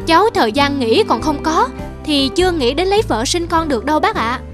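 A woman voicing a money-obsessed, dismissive young businessman, boastful and insistent, in an animated moral drama. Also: high voice, brisk, music in the background.

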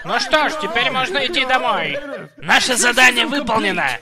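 Very high-voiced excitable male dubber. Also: a little fast, a crowd in the background. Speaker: voice-over dubber of a gaming group. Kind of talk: comic gaming chat dub.

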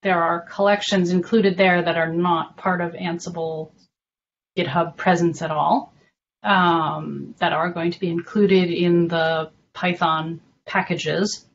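A woman explaining things informally in an online meeting, measured and a little hesitant as she goes. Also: on the low side, fairly even intonation. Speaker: documentation working group member presenting. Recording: dull lo-fi online call with faint noise.

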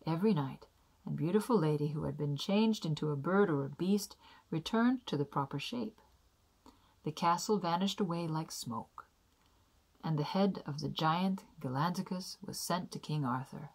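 A young woman narrates a storybook tale for children. Her voice sits low for a woman and has a husky, breathy grain. She reads in a deliberate, careful way, as a storyteller in a small room. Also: a little mellow. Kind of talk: reading a children's fairy tale aloud.

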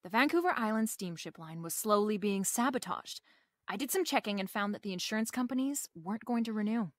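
A woman's voice: medium pitch, expressive, fairly quick, dry recording, clean studio sound, lightly acted. An actress playing a wry, confident female private detective in a radio drama, with a breezy, slangy, wisecracking delivery. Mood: wry, explaining.

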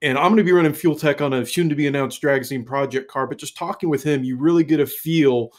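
A man in his thirties co-hosting a casual car show, talking in a relaxed, rambling way on a headset. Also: fairly high pitch, brisk. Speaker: co-host. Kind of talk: casual podcast chat.

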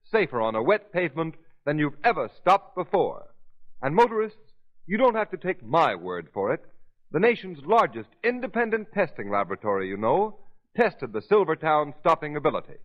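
A man announcing in 1930s radio style, emphatic and theatrical. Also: a little high. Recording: muffled 1930s radio recording.

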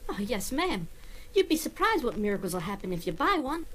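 A woman's voice: medium pitch, very animated, brisk, a bit bright, slight background noise. A woman playing a cheeky young boy with glib sales patter in an old-time radio comedy. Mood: eager, wheedling. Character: cheeky young boy salesman, fast-talking little urchin. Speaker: actor in a radio play recreation.

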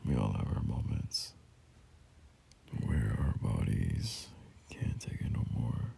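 A young man playing a caring, soothing boyfriend in an ASMR roleplay, murmuring gently and hesitantly in a breathy, gravelly half-whisper. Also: extremely low pitch, big pitch swings, exaggerated voice. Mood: tender, reassuring. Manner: gentle, hesitant, unhurried reassurance.

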